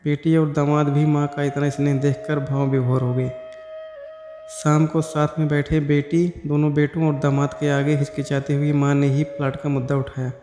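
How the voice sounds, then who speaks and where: Male storyteller, higher-pitched and mellow, reading scripted narration with little pitch movement. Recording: echoey hall-like reverb over music. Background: background music.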